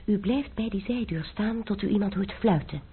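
A woman's voice: mid-range voice, moderate pace, slight background noise, natural voice. An actress playing a secretive young French lady who gives a man urgent, precise orders in a radio drama, on an old tinny recording.

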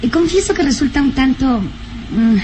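A woman's voice: high voice, animated, normal speed, mellow, rough recording. An actress playing a romantic, independent-minded young lady in an old radio serial, coy, sighing and gushing. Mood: hesitant, coy. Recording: old radio recording, faint noise.

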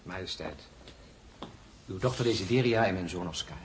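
An actor in a radio drama playing an affectionate, courtly crown prince with a coaxing, formal manner. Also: male voice, deep voice, lively intonation, moderate pace, background noise. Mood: formal, deferential.

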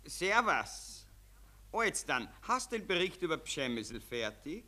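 An actor playing a jovial, condescending Austrian army general dictating emphatically in a 1940s German radio play. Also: male voice, fairly high pitch, sing-song, slow, bright, forceful tone, full character voice. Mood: jovial, impatient. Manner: condescending, wheedling, emphatic dictation in telegram phrases.

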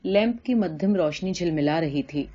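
A woman's voice: fairly low pitch, lively intonation, mellow, muffled recording. A woman narrator reading a literary short story as scripted narration.

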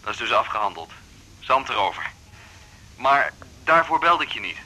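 Charming suitor with a very bright voice. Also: male voice, on the low side, big pitch swings, normal speed, breathy edge, full character voice, rough recording. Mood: charming, wooing. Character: charming, courting man on the telephone. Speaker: actor in a radio drama. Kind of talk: radio drama acting. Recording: faint noise behind the voice.

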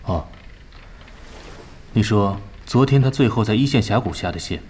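An actor playing a composed, precise vice-captain of a gaming team in an audio drama, speaking tersely. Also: male voice, on the low side, expressive, moderate pace, darkish tone, slight background noise, natural voice. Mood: composed, polite.

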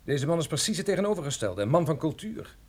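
An actor playing an evasive, defiant drug smuggler at gunpoint in a radio drama, guarded in manner. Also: male voice, fairly high pitch, big pitch swings, quick pace. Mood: grudging, subdued.

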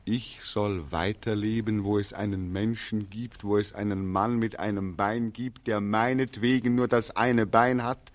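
A man plays a despairing young war veteran in an old German radio play. His voice is lowish and very slow, weary and bitter, with halting, heavy repetitions. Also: steady tone, lightly acted. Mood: desperate, accusing. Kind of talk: 1940s German radio drama acting. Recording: 1940s radio drama recording.

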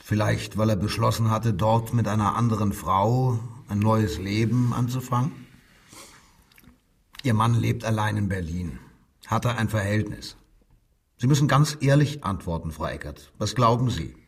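A man playing a measured, insistent Nazi-era police inspector who coaxes and probes with authority in a radio crime drama. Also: fairly low pitch, husky, recorded from a distance. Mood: formal, controlling. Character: controlled, probing male Nazi-era Berlin police inspector. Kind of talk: German radio drama dialogue, interrogation.

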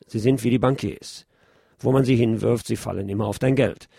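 A middle-aged man hosting a radio show, reading news commentary in a measured, opinionated way. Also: medium pitch, fairly even intonation, vocal fry, mellow, slightly rough, dry recording, clean studio sound. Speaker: radio host.

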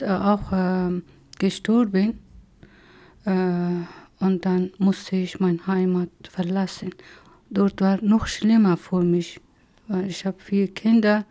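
A middle-aged woman from Afghanistan with an Afghan accent, haltingly telling her personal story with many pauses. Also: medium pitch, dark tone. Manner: halting, many hesitations, slow and searching for words. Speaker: interviewee. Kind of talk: personal testimony.

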